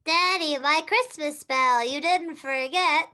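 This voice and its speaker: A performer playing an excited, adoring little girl in a 1940s radio play. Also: high-pitched, takes it slowly, heavily acted. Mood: delighted.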